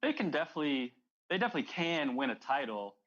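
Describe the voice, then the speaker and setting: A man's voice: a little high, big pitch swings, quick pace, fairly bright tone, lo-fi sound. A man co-hosting a sports show, offering casual, conversational analysis of teams and play.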